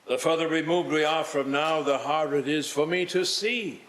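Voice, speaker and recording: A man's voice: on the high side, normal speed, fairly bright tone, reverberant, lightly acted. A man acting an aging, solemn, reproachful spirit in a radio play, with formal, portentous delivery. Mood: solemn foretelling.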